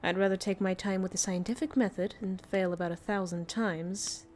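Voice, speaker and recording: A woman playing a centuries-old vampire master, tender and weary, confiding softly in an intimate audio roleplay. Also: mid-range voice, fairly even intonation, faint music behind, close to an everyday voice. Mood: measured, wary. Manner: soft, slow, hesitant, confiding.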